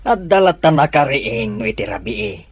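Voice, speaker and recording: A husky, animated man's voice from an actor plays a baffled henchman in an Ilocano radio drama. He has a Filipino accent and sounds agitated. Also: medium pitch, normal speed, no room sound.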